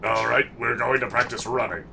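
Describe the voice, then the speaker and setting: A man with a cold voicing a boastful, gruff older male trainer who barks orders. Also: medium pitch, steady tone, brisk, recorded from a distance, background noise, close to an everyday voice. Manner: barking commands, boastful. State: stuffy from a cold.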